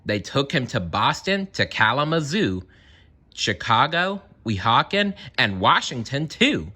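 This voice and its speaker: Animated, bright-voiced man reading a storybook. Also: mid-range voice, a little slow, husky. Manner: animated, dramatic emphasis. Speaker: storybook reader. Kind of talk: expressive children's read-aloud narration with asides.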